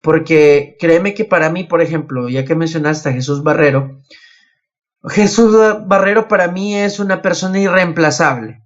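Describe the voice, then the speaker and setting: A man's voice: on the high side, normal speed. A young man co-hosting a casual podcast chat about anime, recorded with dull, lo-fi sound. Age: young adult. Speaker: podcast co-host.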